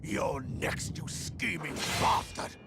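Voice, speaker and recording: An actor playing a fierce, loyal general in a video game, anguished and vengeful, with an intense, halting dramatic delivery. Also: male voice, a little high, animated, creaky, very noisy, exaggerated voice. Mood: vengeful fury.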